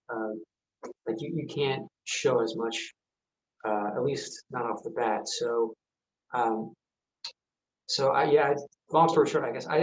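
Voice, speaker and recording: Hesitant man, slightly dull call audio. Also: mid-range voice. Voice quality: hesitant, halting manner. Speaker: actor as panel guest. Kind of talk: casual interview answer. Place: remote video call.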